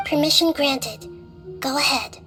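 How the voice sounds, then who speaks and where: A woman voicing a calm, wise, childlike young deity in a video game, speaking formally with measured, gentle authority. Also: high voice. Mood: composed, formal. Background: game music.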